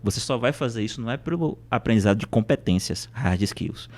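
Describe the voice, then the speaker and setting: Man, Brazilian accent, lower voice, didactic, motivational, rhetorical questions, podcast guest. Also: animated, a little fast, a little mellow. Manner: didactic, uses rhetorical questions and emphatic repetition. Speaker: podcast guest, psychotherapist and speaker. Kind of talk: conversational motivational explanation.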